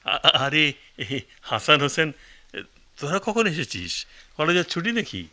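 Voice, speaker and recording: A scheming middle-aged uncle in a radio drama. His voice is fairly high and extremely sharp. He is sarcastic, blustering and quick to anger. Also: very animated, heavily acted. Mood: defensive. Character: greedy, deceitful, short-tempered elder uncle. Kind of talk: Bengali radio play acting.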